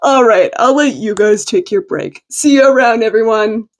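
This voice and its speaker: A woman's voice: fairly high pitch, moderate pace, natural voice. A woman voicing a friendly, casual, teasing male masked vigilante in a story reading.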